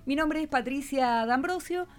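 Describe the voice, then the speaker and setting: A woman's voice: high voice, unhurried. A woman with a Buenos Aires Argentine accent hosting an informal radio show, chatty and encouraging as she prompts her guests. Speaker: radio host.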